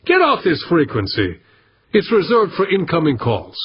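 Man acting an irritable, high-strung comms operator. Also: huge pitch swings, normal speed, lo-fi sound, slight background noise. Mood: annoyed. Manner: indignant, exclaiming. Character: irritable, disbelieving male night-shift communications operator.

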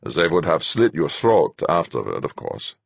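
A man's voice: very deep voice, very animated, a little slow, vocal fry, husky, no room sound, exaggerated voice. A man voicing an unflappable, hard spaceship captain in an audiobook, terse, commanding and coolly taunting. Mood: cool, musing.

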